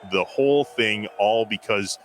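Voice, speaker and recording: A man's voice: fairly low pitch, measured pace, very noisy. An American man co-hosting a casual sports talk show, telling stories with enthusiasm and emphasis.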